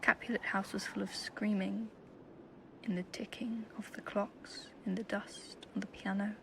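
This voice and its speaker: A woman's voice: mid-range voice, level tone, unhurried, gravelly, in a whisper, poor audio. A woman playing an anguished young woman reciting a poetic farewell letter in an audio drama. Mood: hushed anguish.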